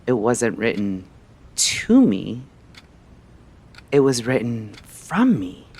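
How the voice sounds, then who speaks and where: A man narrating a scripted story like a late-night radio host, in a measured, theatrical way with short phrases and pauses. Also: mid-range voice, big pitch swings, darkish tone, husky.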